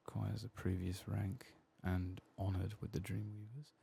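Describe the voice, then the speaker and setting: A man giving soft-spoken ASMR commentary as he plays a game, quiet and relaxed, murmuring to himself. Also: lowish voice, moderate pace, creaky, breathy edge. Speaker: gaming ASMR host.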